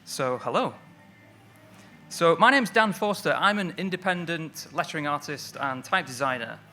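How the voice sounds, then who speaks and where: A man's voice: fairly high pitch, lively intonation, moderate pace, sharp tone, soft background music. A man from Manchester, giving a friendly, self-deprecating conference talk on stage, with many fillers. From Manchester, England. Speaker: lettering artist giving a talk. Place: stage at an evening event.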